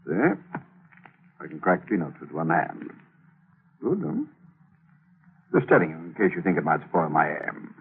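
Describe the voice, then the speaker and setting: A man's voice: a bass voice, very animated, measured pace, long pauses, slightly rough, exaggerated voice. An actor in an old-time radio thriller playing a sly, gloating gunman who taunts with folksy, mocking friendliness. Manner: folksy, taunting, leisurely menace. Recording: tinny narrow-band old radio recording.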